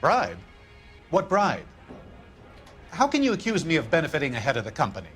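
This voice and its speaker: Corrupt, evasive male executive in a 1940s detective game. Polite and smooth, animated intonation, music clearly underneath. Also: on the high side, brisk. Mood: pleading.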